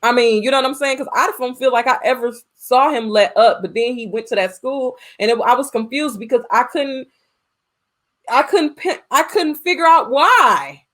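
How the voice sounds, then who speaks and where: A woman's voice: sits high, moderate pace, a bit bright. An American woman co-hosting a film review, chatting casually in a blunt, colloquial way. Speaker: podcast co-host reviewing films. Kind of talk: casual chat, film discussion.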